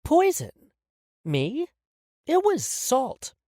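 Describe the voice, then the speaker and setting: Brief: woman playing a cool, menacing tormentor for an audio story, quiet menace, varied pitch. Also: big pitch swings, measured pace, no room sound, clean studio sound. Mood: mock innocent. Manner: soft, coolly menacing. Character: calm, cruel woman toying with captives.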